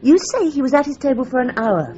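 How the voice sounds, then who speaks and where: An actress playing a confident, talkative restaurant manageress in a radio drama. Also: female voice, sits high, very animated, a little fast, mellow. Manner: assured, talkative. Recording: dull lo-fi audio.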